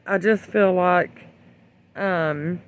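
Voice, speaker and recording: An opinion vlogger giving casual, rambling commentary in a blunt, admonishing way, with hesitant pauses. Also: mid-range voice, clean tone. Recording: dull lo-fi sound.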